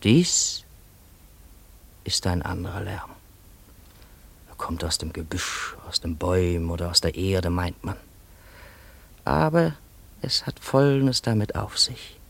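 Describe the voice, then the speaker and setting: An actor playing a weary, musing male storyteller in a poetic radio play, with a halting, declamatory delivery. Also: low voice, vocal fry, slightly rough, exaggerated voice.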